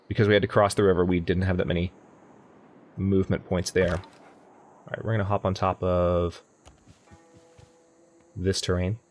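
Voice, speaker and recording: A young man casually commenting on a strategy game as he plays, thinking aloud and often correcting himself. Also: deep voice. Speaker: let's play host. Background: faint game music.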